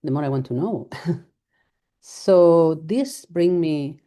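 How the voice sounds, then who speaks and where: Spanish lady vet giving a talk in english, low warm voice, lots of uhs and restarts. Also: animated, takes it slowly. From Spain. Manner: hesitant, many fillers and restarts, laughs lightly. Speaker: veterinarian giving a lecture. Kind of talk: live lecture.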